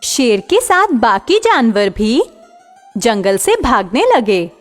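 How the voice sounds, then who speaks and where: A woman narrating a children's moral story in a clear, expressive storytelling style. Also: fairly high pitch, takes it slowly, big-room sound, faint music behind.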